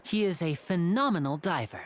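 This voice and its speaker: A woman's voice: fairly low pitch, animated, moderate pace, a little hiss or hum, close to an everyday voice. A woman playing a gracious, formal young woman, a caring older sister, in a video game. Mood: polite, welcoming. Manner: polite, formal. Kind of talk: scripted video game dialogue.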